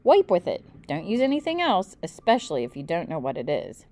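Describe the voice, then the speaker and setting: A woman's voice: fairly low pitch, huge pitch swings, a little fast, dark tone. A woman reading the part of a confident uncle who instructs children in survival tips, in a children's storybook. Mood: emphatic. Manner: emphatic, instructive. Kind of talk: children's storybook read-aloud.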